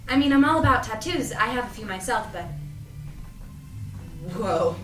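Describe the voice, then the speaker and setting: A young woman playing an earnest, self-doubting young shop worker in an audio drama read-through, speaking in an informal, expressive way. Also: fairly high pitch, normal speed, faint music behind. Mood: awkward, friendly. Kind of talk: audio drama cast reading. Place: echoey room at a cast read-through. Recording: roomy, echoing, distant mic, background noise.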